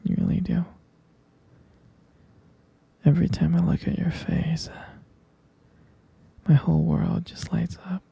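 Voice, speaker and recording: A man voicing an adoring, tender romantic partner in an intimate ASMR roleplay, murmuring gently and haltingly in a breathy, husky whisper. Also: a little high, steady tone, dry recording, highs cut off, heavily acted. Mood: adoring, dreamy. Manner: slow, halting, gentle murmuring with lingering pauses.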